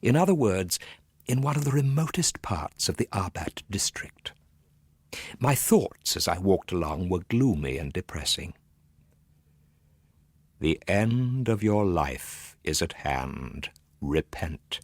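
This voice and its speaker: An actor playing a nervous, gloomy Victorian gentleman telling a ghost story in a theatrical radio monologue, full of hushed dread and sudden swells. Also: sits low, big pitch swings, slow pace, slightly rough, no echo, exaggerated voice. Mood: ominous storytelling, then gloomy, brooding.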